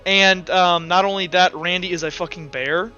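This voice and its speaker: A man's voice: sits high, steady tone, moderate pace, bright, forceful tone, dull audio, faint music behind. A man acting as game master in a casual, informal tabletop roleplay session over voice chat. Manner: informal, swearing. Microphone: online voice call.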